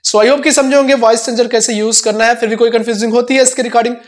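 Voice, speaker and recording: A man's voice: very high-pitched, steady tone, fast. Young Indian man explaining tech. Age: 20s. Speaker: tech tutorial host. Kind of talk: casual how-to explanation to camera. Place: small indoor room. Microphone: clip-on lapel mic.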